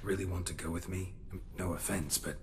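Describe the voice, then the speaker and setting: An actor playing a gruff, laconic monster hunter in a video game, with terse and understated delivery. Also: male voice, sits low, fairly even intonation, fairly quick, background noise, close to an everyday voice. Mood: wary, blunt. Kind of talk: video game cutscene dialogue.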